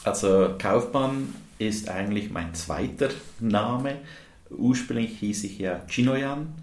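A man's voice: on the low side, slow. A man who grew up in India and whose first language is English, answering interview questions as a charity volunteer with many hesitations and filler sounds. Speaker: guest, dental technician, charity volunteer. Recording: roomy echo.

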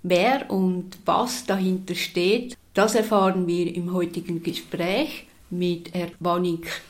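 A woman host giving a formal scripted introduction and then asking a guest questions in a measured way. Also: lowish voice, fairly even intonation. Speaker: interviewer, host.

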